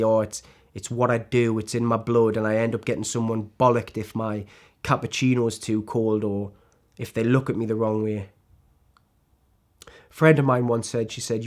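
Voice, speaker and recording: A man's voice: medium pitch, level tone, normal speed, darkish tone, natural voice. A man performing a dramatic screen monologue as a disillusioned, self-loathing celebrity actor, sardonic and emotionally exposed. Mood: ashamed, bitter, then remorseful, pleading.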